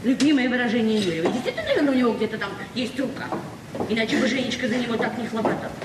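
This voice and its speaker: A woman's voice: a little high, sing-song, very fast. An actress in a radio play as a naive, talkative librarian in her forties, speaking hesitantly and repeating herself. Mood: indignant, flustered. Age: 40s. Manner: flustered, hesitant, chatty, repeating words. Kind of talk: naturalistic Soviet radio drama acting. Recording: echoey, distant, noisy 1970s radio recording.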